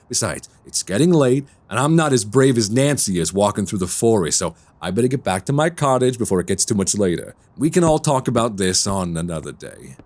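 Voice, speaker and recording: An actor playing a polite, troubled man suspected of being a vampire in a radio drama, speaking in measured, formal storyteller phrasing. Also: medium pitch, a little mellow. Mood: polite, apologetic. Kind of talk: immersive radio drama acting and narration.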